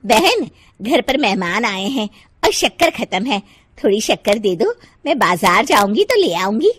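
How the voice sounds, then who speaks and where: A neighbour lady, acted by a woman, high and lively in pitch. She pleads politely, then bristles with offended dignity, in a cartoon-story style. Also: measured pace, husky, no room sound, full character voice. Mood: polite request.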